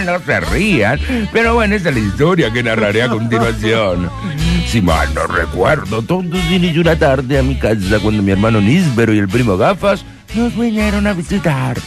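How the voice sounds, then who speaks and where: A man's voice: medium pitch, natural voice. A man playing a fond storyteller who narrates a comedy radio play in a theatrical way. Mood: fond, reminiscing. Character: storytelling relative fondly recalling family misadventures.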